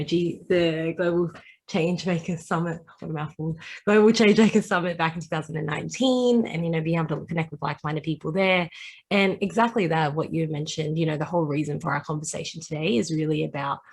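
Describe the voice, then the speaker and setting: A woman hosting a podcast with a husky voice, chatty and enthusiastic, full of "you know" and "like". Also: low-pitched. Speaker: podcast host. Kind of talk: casual interview hosting.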